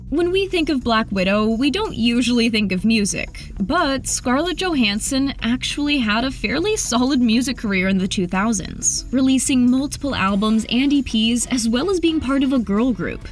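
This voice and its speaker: A woman delivers scripted narration for an entertainment video. Her voice sits fairly high, and music plays lightly behind her. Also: animated, moderate pace, few pauses.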